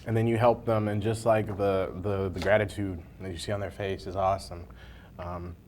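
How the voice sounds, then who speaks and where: Low-pitched man hosting casual interview. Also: level tone, moderate pace, a little mellow, slight background noise. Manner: informal, colloquial, jokey. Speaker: interview host, library information desk worker. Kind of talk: casual chat during a hot sauce challenge interview.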